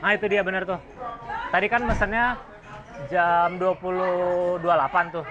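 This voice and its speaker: A man's voice: high voice, fairly even intonation, moderate pace, highs cut off, over music, a crowd in the background. A man in his thirties interviewing people in a busy restaurant, blunt and teasing, needling them with slang. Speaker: comedic interviewer. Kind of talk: provocative street interview.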